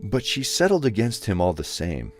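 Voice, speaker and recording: Deep guy narrating an audio drama, really animated delivery, bit of music underneath. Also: normal speed. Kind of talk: scripted story narration.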